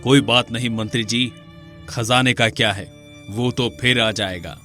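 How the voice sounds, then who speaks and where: A man's voice: medium pitch, fairly even intonation, measured pace, sharp tone, faint music behind. A man playing a proud, commanding king in a dramatised moral story. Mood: earnest, resolute. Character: proud male kings, one generous, one merciless.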